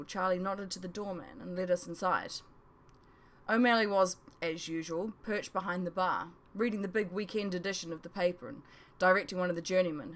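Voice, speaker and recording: Female author-narrator with a lowish voice for first-person novel narration. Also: a bit bright. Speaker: author reading her own audiobook.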